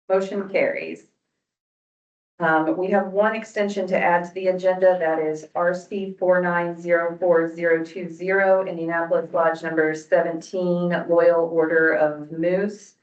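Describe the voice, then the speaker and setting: Female chair, slightly low voice, formal procedural wording, dull roomy sound. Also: flat delivery, a little slow. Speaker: commission chair. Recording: roomy, distant, muffled meeting-room audio.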